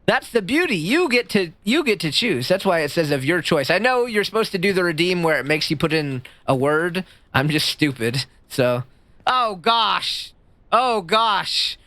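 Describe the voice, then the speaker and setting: Squeaky-voiced male streamer, bright and close. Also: moderate pace, dry recording. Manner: rambling and jokey, voice jumping up high when excited. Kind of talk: casual livestream chat reading chat. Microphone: streaming mic.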